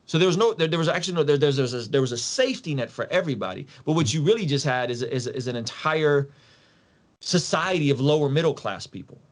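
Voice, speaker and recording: A man's voice: a little high, animated, quick pace. Emphatic man explaining casually in slangy talk. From Soviet Russia. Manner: emphatic, slangy, repeats phrases and poses rhetorical questions. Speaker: podcast guest.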